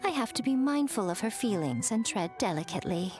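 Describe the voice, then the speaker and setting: An actress playing an elegant, self-assured shrine head in a video game, speaking in a measured, articulate, teasing way. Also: female voice, medium pitch, very animated, natural voice. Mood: composed, concerned. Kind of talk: English video game voice acting. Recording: faint music behind the voice.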